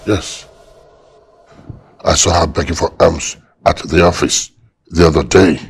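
A man's voice: deep voice, fairly even intonation, unhurried, gravelly, breathy edge, heavily acted. An actor playing a dismissive, pompous wealthy father, middle-aged.

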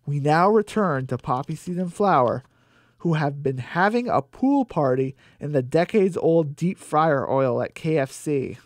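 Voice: medium pitch, expressive, unhurried. A narrator reading a scripted radio drama.

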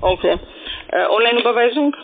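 A male telesales caller with a high voice talks haltingly and repeats himself. He comes through a thin phone connection with clear noise behind him. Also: lively intonation. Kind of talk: sales phone call. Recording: noisy, narrow phone line.

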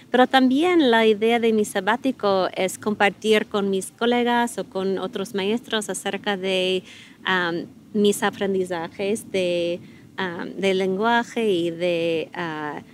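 Middle-aged American woman guest, English native, pausing often as she searches for words, slight room noise. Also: medium pitch. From United States. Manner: hesitant, many fillers and restarts. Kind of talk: conversational interview in learner Spanish.

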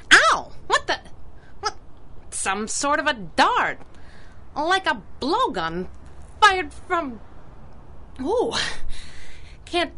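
Sassy young female vigilante sidekick, 1930s radio style. Bright, very animated, unhurried. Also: high-pitched, heavily acted. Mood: dazed, alarmed. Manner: sassy, self-narrating pulp patter. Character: plucky, wisecracking young female masked crimefighter sidekick.

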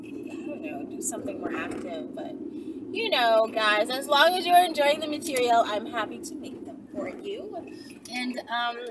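A woman in her thirties chats informally to her viewers in a slightly high voice, telling stories in an animated way. She is recorded inside a car, a little away from the microphone, with very loud road noise around her. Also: normal speed. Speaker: online video host. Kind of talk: casual storytelling chat to viewers. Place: inside a small car, driving. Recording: noisy car interior, distant microphone. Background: loud vehicle and road noise.

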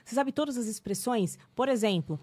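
A Brazilian woman in her thirties, an English teacher, explaining things in an animated podcast chat and laughing often. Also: a little high, fairly quick, no room sound. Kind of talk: podcast conversation.

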